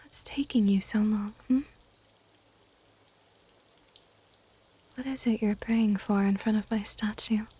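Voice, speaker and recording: A woman playing a possessive, affectionate dragon goddess in an ASMR audio roleplay, with a soft, whispery, teasing and intimate delivery. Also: fairly even intonation. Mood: impatient, musing. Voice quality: soft, breathy whispering mixed with low-volume speech. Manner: doting, teasing, unhurried.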